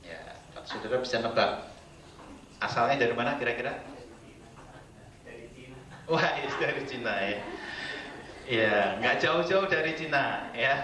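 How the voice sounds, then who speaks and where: A man's voice: mid-range voice, unhurried, pauses often, crowd noise. A middle-aged man preaching a sermon to a congregation, joking playfully with them. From Indonesia. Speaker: guest preacher. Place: church hall. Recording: roomy church sound from a distant mic.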